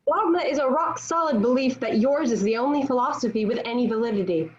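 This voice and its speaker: Woman playing proud sharp-tongued young heroine, high-pitched, declamatory, defiant, dramatic table read, muffled recording. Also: quick pace, lightly acted. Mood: firm, righteous. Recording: dull, lo-fi video call audio.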